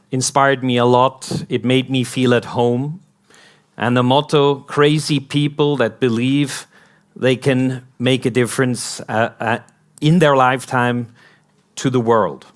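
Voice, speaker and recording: A man's voice: mid-range voice, clear, resonant tone. A man with an American accent, born in Hong Kong, giving a conference talk as a company founder, building up a string of rhetorical questions. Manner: slow, rhetorical, repeating "what if" questions. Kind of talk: conference speech.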